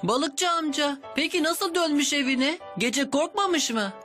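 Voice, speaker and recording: A woman's voice: sits high, measured pace, fairly bright tone. A woman voicing a curious, eager young child in a children's cartoon. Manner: eager, questioning. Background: music.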